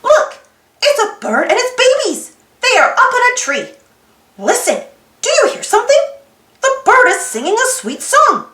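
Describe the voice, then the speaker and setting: A woman reading a children's storybook aloud in an expressive, sing-song way, putting simple questions to young listeners. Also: very high voice, unhurried, reverberant. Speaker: storybook reader. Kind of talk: children's read-aloud narration.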